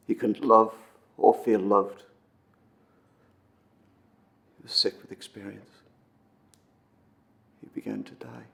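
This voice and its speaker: A man acting a dramatic monologue as an earnest, wistful storyteller recounting an old legend, with intense pauses and swells. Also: on the low side, big pitch swings, gravelly, fairly bright tone, exaggerated voice. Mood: grave, sorrowful. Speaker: amateur actor performing a film monologue.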